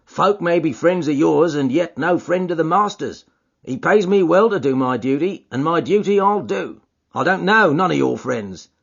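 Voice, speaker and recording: A man voicing a gruff, stubborn burly porter and former boxer who talks bluntly with rough grammar. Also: on the high side, lively intonation, normal speed, voice pushed up, full character voice. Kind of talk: audiobook character voice. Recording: dull lo-fi audiobook recording.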